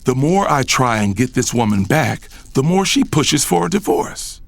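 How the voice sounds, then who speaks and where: A man's voice: medium pitch, big pitch swings, moderate pace, creaky, no room sound. A man playing a vindictive, controlling businessman and boss, narrating an audio drama in first person with a stern manner. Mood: vindictive, brooding.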